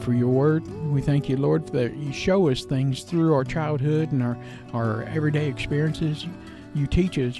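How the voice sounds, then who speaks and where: A man in his fifties giving a devotional talk as a podcast host, in a folksy, plain-spoken way with emphatic short phrases. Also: medium pitch. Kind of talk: devotional talk with personal childhood stories. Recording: guitar music behind the voice.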